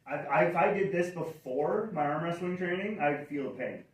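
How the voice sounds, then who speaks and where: A man's voice: on the high side, normal speed. Man, middle-aged, upbeat, rambling, roomy echo. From Canada. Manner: rambling, upbeat, self-correcting. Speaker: channel host. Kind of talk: casual livestream chat. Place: indoors near a fireplace. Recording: roomy echo, mic far off.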